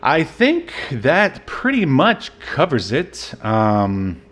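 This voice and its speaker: A man co-hosts a podcast, talking loosely and rambling with plenty of fillers and easy laughter. His pitch sits in a middling range and his delivery stays relaxed and casual. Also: extremely slow. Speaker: podcast co-host. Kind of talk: casual chat. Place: Southern California.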